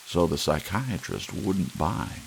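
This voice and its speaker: A man reading a short story aloud in a soft, unhurried ASMR audiobook style. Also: sits low, big pitch swings, vocal fry, slight background noise. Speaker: audiobook narrator.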